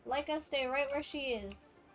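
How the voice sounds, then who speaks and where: A woman's voice: high-pitched, normal speed, fairly bright tone, soft background music. A woman game streamer chatting casually on a live stream. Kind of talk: casual live-stream chat, reading dialogue aloud at times.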